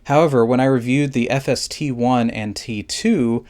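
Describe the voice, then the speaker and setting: A man's voice: medium pitch, normal speed, a little mellow. A man narrating a scripted product review in a plain, methodical, instructional way.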